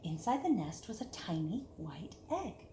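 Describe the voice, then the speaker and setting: A woman's voice: fairly low pitch, sing-song, measured pace, mellow. Echoey woman reading a children's story. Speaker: storyteller reading a picture book aloud to children. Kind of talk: expressive children's story read-aloud. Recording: echoey room with clear background hiss.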